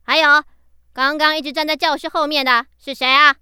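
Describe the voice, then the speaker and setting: A woman's voice: high-pitched, fairly even intonation, a little fast, bright, forceful tone, no echo, clean studio sound. A woman with a Taiwanese Mandarin accent playing a strict, exasperated schoolteacher in a children's radio drama, scolding and bossy.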